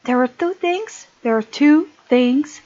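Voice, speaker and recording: A woman's voice: high voice, expressive, takes it slowly, a little mellow, muffled recording. A woman hosting a gaming video, reading visual novel text aloud with chatty, giggly commentary.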